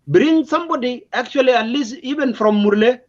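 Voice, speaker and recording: High-pitched South Sudanese man, emphatic. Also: a little fast. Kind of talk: unscripted explanatory political discussion. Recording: dull, lo-fi audio.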